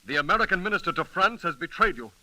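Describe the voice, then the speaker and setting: An anxious, devoted friend giving grave warning. He speaks fast with a very sharp, highish voice, in the earnest style of 1930s radio drama. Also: lively intonation, no room sound, exaggerated voice, low-quality recording. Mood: urgent, grave. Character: urgent, loyal male friend pleading with a condemned comrade.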